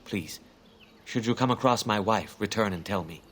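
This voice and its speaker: An actor playing a grieving elven hunter in a fantasy game, speaking in a formal, measured, earnest way. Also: male voice, fairly low pitch, a bit bright, a little hiss or hum, natural voice.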